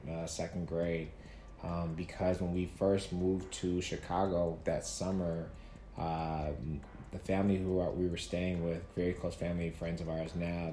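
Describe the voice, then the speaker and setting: Deep, mellow man telling his story. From Nigeria. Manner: relaxed, many fillers and restarts. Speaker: guest. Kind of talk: casual interview storytelling. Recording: echoey room with faint noise.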